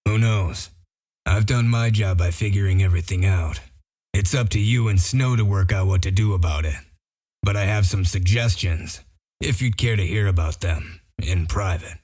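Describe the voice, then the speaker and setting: A man playing a gruff, dry-witted detective in a comic dub, explaining things in a matter-of-fact, wry way. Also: low voice, normal speed, darkish tone. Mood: dry, unbothered. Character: Bigby Wolf, gruff dry-witted male detective sheriff. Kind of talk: amateur comic dub acting.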